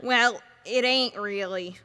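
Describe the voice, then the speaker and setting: A woman's voice: medium pitch, slow pace, pushed and bright, clean tone. A woman playing a nervous, hapless male gangster with hesitant, slangy talk in an old-time radio serial. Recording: hall-like reverb.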